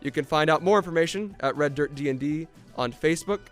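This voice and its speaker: A man's voice: on the high side, fast talker, soft background music. A man announcing a podcast in a scripted promotional read.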